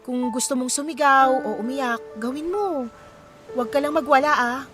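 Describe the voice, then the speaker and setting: An actress playing a caring, supportive young friend in a Filipino radio drama, expressive and sympathetic, with a Filipino accent. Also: female voice, high-pitched, normal speed, natural voice. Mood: comforting. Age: young adult. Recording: music playing behind the voice.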